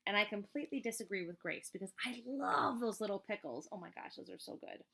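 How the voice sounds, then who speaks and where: A woman reading a children's book aloud as a teacher, in an expressive, chatty way with casual asides. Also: mid-range voice, fast talker, creaky. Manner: expressive, chatty, emphatic on list items.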